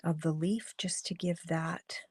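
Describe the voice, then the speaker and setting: A woman hosting a live card-making stream, chatting casually and thinking aloud as she colors, with a slightly hoarse, froggy voice. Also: on the low side, steady tone, measured pace, a little mellow, no echo. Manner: chatty, thinks aloud while coloring, greets viewers by name. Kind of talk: casual live crafting demo talking to viewers.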